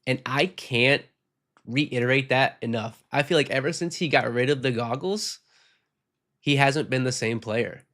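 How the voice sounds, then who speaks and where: Male podcast host, sick and hoarse, casual and rambling, reacts frankly to fan comments. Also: mid-range voice, unhurried, fairly bright tone. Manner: frank, rambling, reads viewer comments aloud. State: sick, losing his voice. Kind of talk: casual postgame chat reading comments.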